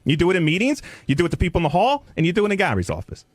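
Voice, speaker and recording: A man's voice: high-pitched, wildly swooping pitch, racing, husky, no room sound. A man arguing heatedly on a radio show as a staff producer, bluntly and combatively, often cutting in. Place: radio studio.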